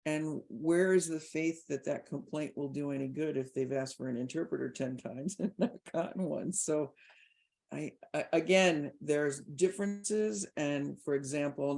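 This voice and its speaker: A woman with a deep voice and a marked creak speaks as a podcast host. She is articulate and emphatic, making her points conversationally and often through rhetorical questions. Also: a little mellow. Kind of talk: conversational interview commentary.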